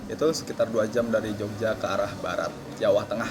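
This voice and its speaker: A man from Kebumen in Central Java calmly answering interview questions. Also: on the low side, a little fast, background noise.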